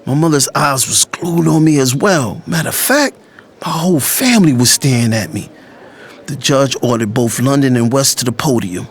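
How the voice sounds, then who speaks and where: A man's voice: medium pitch, expressive, moderate pace, close to an everyday voice. An actor playing a resolute, self-sacrificing younger brother who narrates his story in the first person. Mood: narrating, grave. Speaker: audiobook narrator.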